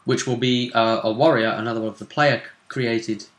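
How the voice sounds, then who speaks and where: A man's voice: medium pitch, fairly even intonation, normal speed. Musing man in a roomy, echoing space. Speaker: host of a tabletop role-play video series. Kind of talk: casual chat about a role-play tournament.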